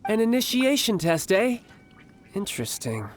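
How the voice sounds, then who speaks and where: An actor playing a self-assured, playful young male fighter in a video game, talking with smooth, teasing politeness. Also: sits high, big pitch swings, moderate pace, a little hiss or hum. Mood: playful, confident.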